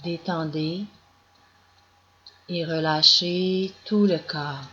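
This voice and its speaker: A woman guiding a yoga nidra meditation as scripted narration, in calm, measured instructions with long pauses. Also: deep voice. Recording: dull lo-fi recording with clear background noise.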